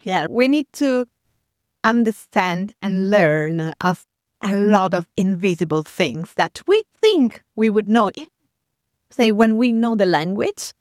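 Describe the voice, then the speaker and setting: A woman's voice: lowish voice, no echo, clean studio sound. A woman guest explaining her migrant support service in a reflective interview. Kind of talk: thoughtful interview talk.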